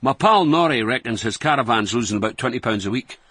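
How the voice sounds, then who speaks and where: Cocky old scottish guy in a radio comedy, raspy voice, really animated, boastful. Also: mid-range voice, quick pace, dry recording. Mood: flirty, smooth. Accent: Scottish, Dundee. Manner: boastful, colloquial, deadpan mock-sincere. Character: cocky, roguish, blustering Scottish man in his sixties. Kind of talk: radio comedy acting, reading out emails.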